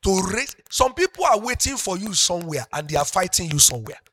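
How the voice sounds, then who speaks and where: A middle-aged man with a Yoruba-speaking Nigerian accent preaches a sermon in a high, bright voice. His pitch swings widely as he climbs from quiet talk into loud, impassioned shouting. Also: big pitch swings, brisk, no room sound. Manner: preaching to a congregation, builds from soft talk to loud passionate shouting. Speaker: pastor.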